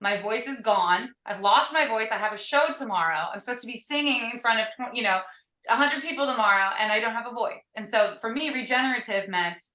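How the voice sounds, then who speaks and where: A woman guest in a casual video-call interview, talking in an energetic, upbeat way with frequent fillers from her tiny home. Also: on the high side, a little fast, reverberant. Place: tiny shipping-container home.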